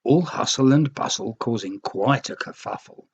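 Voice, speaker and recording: A storyteller reading a rhyming children's book aloud in an expressive, theatrical way. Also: mid-range voice, moderate pace, husky, dull audio. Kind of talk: scripted storybook narration in rhyme.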